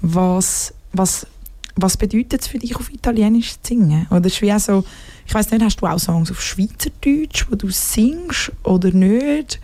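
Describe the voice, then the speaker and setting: A woman's voice: mid-range voice, animated, normal speed, warm tone. A woman interviewer, asking questions in a hesitant, friendly way.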